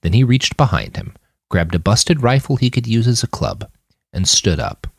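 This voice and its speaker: A man's voice: lowish voice, huge pitch swings, normal speed, vocal fry, slightly rough, dry recording, clean studio sound. A man reading scripted fiction as a dramatic audiobook narrator, acting out the dialogue. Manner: dramatic, acts out character dialogue. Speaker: author reading his fiction podcast.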